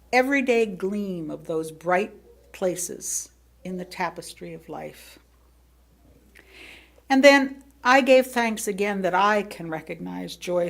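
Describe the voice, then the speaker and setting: An American woman reads a sermon aloud as personal storytelling, speaking expressively with theatrical emphasis and pauses. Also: lowish voice, creaky. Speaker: lay reader delivering a written sermon.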